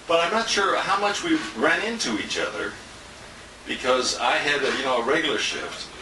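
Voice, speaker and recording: A man's voice: on the high side, expressive, moderate pace, distant mic. An older American man, a radio announcer, giving a wry, rambling, informal tribute speech in a restaurant banquet room. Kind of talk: informal, joking off-the-cuff speech. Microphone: distant handheld compact camera. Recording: very noisy, echoey handheld camera from afar.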